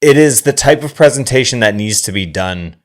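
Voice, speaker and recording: A man co-hosting a casual film discussion, speaking in a halting, measured way with some swearing. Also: mid-range voice, animated. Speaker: podcast co-host reviewing films.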